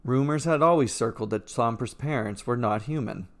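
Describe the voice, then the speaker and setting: A man's voice: medium pitch, moderate pace. A man reading a scripted fairy tale as its storyteller and narrator. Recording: music playing behind the voice. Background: instrumental music.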